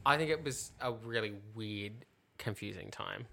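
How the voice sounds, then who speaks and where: Female co-host, very low and gravelly yet bright, chatting casually. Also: normal speed. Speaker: podcast co-host. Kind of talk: casual chat, short reactions.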